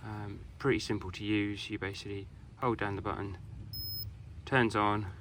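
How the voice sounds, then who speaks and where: Low-voiced British man with background noise. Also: fairly even intonation, normal speed. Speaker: product reviewer. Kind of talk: casual hands-on product review.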